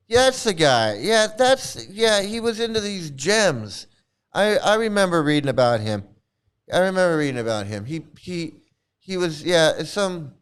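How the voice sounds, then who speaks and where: Middle-aged guy ranting, swears a lot, sneering, kinda high voice, smooth and clear. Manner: profane, scornful, repeats words. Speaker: commentary channel host. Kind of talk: casual ranting commentary.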